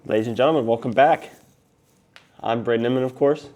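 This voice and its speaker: A man's voice: mid-range voice, a little fast, darkish tone, a little hiss or hum. A teenage boy hosting a school video show, upbeat and playful, hyping up his guests. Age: teens. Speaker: student host of a school show. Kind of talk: casual school news chat.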